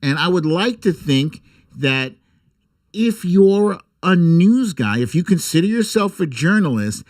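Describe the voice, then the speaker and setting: Theatrical man with swooping, highish voice. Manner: theatrical, drawn-out emphasis; sarcastic, irreverent, swearing. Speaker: host of a political humour livestream. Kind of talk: comic political commentary and mock storytime reading.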